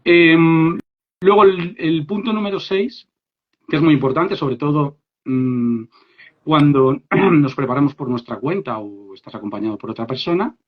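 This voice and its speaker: A man from Spain with a Spanish accent, giving a lively online workshop on English for business, talking directly to his listeners and checking in with them often. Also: fairly high pitch, measured pace. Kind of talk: informal live workshop talk. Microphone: phone or laptop mic on a live stream. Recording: dull lo-fi live stream audio.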